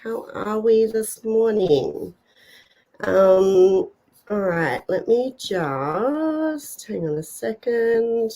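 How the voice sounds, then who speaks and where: A woman's voice: mid-range voice, expressive, very slow, dark tone. A woman hosting a live online show, chatting casually with viewers while getting over a cold, her voice stuffed up, with lots of fillers and small laughs. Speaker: host. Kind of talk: casual live-stream chat.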